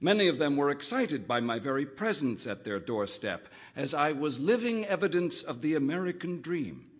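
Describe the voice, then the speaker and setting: A man's voice: fairly high pitch, sing-song, moderate pace. Man reading a wry literary story. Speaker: audiobook reader.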